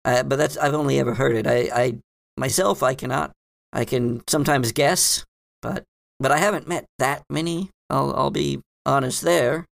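A man voicing a cryptic, hesitant librarian woman who explains hidden lore in a halting, musing way in a tabletop game. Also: medium pitch, fairly even intonation, gravelly, no echo, voice pushed up. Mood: cautious, thoughtful. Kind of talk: improvised tabletop roleplay.

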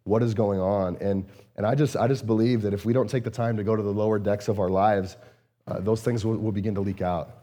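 A man with a low, warm voice gives a casual, earnest sermon as a pastor. He restarts phrases and leans on fillers, and his voice sounds a little strained and hoarse. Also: fairly even intonation, brisk. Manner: earnest, repeats words and phrases, frequent fillers. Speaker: lead pastor. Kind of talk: conversational sermon.

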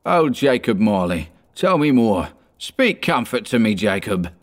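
A man's voice: lowish voice, big pitch swings, moderate pace. A man voicing a frightened older miser in a storybook reading, humble, imploring and faltering. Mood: trembling, imploring.

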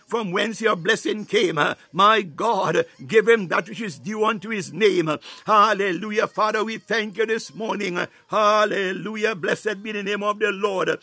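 A man's voice: high voice, bright, forceful tone, no room sound. A man preaching a fervent charismatic sermon and prayer, breaking his phrases with repeated exclamations.

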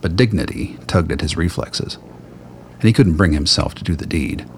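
Male narrator for radio drama, very low register, scripted storytelling, faint noise behind. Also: expressive, moderate pace.

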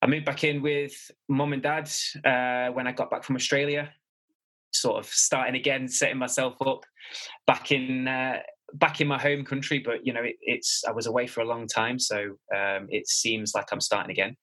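Bright-toned Mancunian man chatting. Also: mid-range voice, little pitch movement, moderate pace. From Manchester, England. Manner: rambling with frequent restarts and fillers. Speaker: guest, personal trainer. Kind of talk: casual interview chat.

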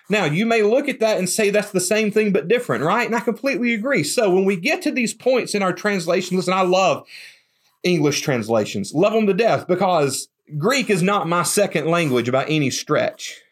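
A man preaching a conversational, emphatic sermon, often repeating words as he starts a thought. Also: high voice. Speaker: pastor.

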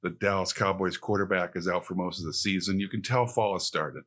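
Man, dry wisecracks, casual co-host, fairly low voice. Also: fairly even intonation, a little fast, a bit bright. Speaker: podcast co-host. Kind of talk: casual chat.

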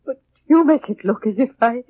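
An actress playing a devoted, deferential, easily upset housekeeper in a 1940s radio thriller. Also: female voice, fairly high pitch, expressive, brisk, no room sound. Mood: distressed. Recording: muffled, narrowband 1940s radio recording.

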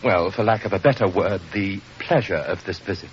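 A man's voice: low voice, fairly quick, close to an everyday voice. Man acting a haughty, sardonic producer, muffled recording. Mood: haughty, dismissive. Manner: languid, sardonic, pompous, mannered. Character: cynical, witty, haughty theatrical producer aged forty-eight. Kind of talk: old radio mystery drama acting. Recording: muffled, noisy old radio recording.

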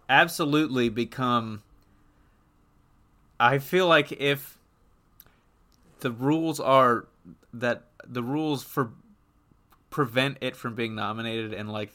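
Bright-voiced man giving opinions on a podcast. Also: mid-range voice. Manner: halting, opinionated, rephrases as he goes. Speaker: podcast co-host. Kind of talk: casual gaming podcast chat.

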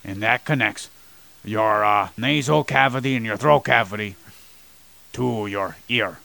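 A man's voice: fairly low pitch, measured pace, creaky, sharp tone, dry recording. A man co-hosting a casual anatomy podcast, teasing and playfully combative with his co-host. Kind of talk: casual chat about anatomy.

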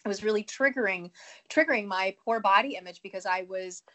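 A woman's voice: mid-range voice, a little fast, bright, forceful tone, low-quality recording. Woman with a cold, earnest and talkative. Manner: earnest, with fillers and restarts, laughing at herself. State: has a cold, a bit sniffly. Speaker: podcast guest, speaker and educator. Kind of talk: conversational interview answer.

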